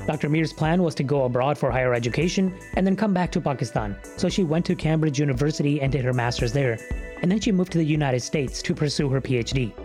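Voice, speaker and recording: A man hosting a podcast, introducing a guest and narrating in a measured scripted way. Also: a little high, darkish tone, soft background music. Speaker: podcast host.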